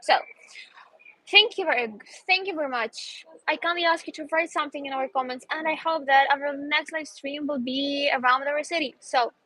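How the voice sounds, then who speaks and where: A woman's voice: sits high, normal speed, pushed and bright, rough recording. A young woman with a Ukrainian accent hosting a casual outdoor live stream, chatty and upbeat, sometimes searching for English words. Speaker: host, English teacher. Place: outdoors in a city square.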